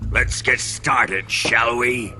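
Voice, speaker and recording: An actor playing a menacing, hot-tempered military colonel in a video game, theatrical and domineering, with a gravelly voice. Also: male voice, mid-range voice, fairly even intonation, extremely slow. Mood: menacing relish. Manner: theatrical, domineering, taunting, barking orders. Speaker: villain in a video game cutscene. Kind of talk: dramatic video game voice acting. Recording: dramatic music behind the voice.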